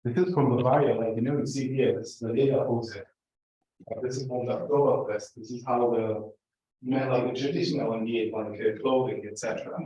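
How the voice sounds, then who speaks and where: A middle-aged man from Palestine with a Palestinian Arabic accent, giving an informal academic talk in a halting, conversational way. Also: mid-range voice, steady tone, mellow. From Gaza, Palestine. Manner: conversational, halting, frequently checks in with "right?" and "like". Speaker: professor, invited speaker. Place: indoor lecture room. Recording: very echoey room, distant muffled mic.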